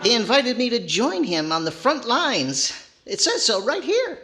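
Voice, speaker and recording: A man's voice: very high voice, wildly swooping pitch, measured pace, pushed and bright, slight background noise, full character voice. A man playing a cheeky, naive boy ventriloquist dummy who wisecracks and overreacts in 1940s radio comedy style. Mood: cocky, excited. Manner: wisecracking, exaggerated reactions.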